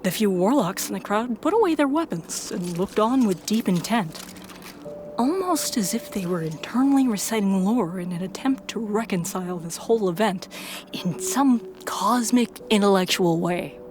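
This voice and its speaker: A woman's voice: medium pitch, animated, moderate pace, darkish tone, slight background noise. A woman reading scripted first-person story narration as the narrator and protagonist of an audio drama.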